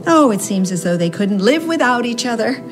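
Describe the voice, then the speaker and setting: A woman's voice: fairly high pitch, lively intonation, a little fast, natural voice. An actress playing a worried, gossipy middle-aged American mother who rambles on in a radio drama. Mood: fretful, chatty. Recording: music under the dialogue.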